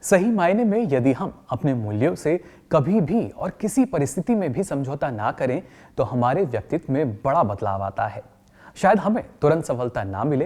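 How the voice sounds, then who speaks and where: A man's voice: a little high, dark tone. A man playing a patient, encouraging trainer who lectures and puts questions to a group in a scripted training film. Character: encouraging male trainer of company directors, patient and instructive. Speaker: trainer leading a workshop.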